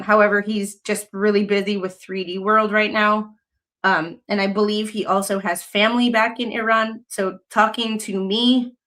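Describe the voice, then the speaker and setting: A woman's voice: medium pitch, fairly bright tone. A young adult woman from the United States, hosting a casual livestream, talking in a rambling way with many fillers and restarts, and reading news excerpts aloud. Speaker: livestream host.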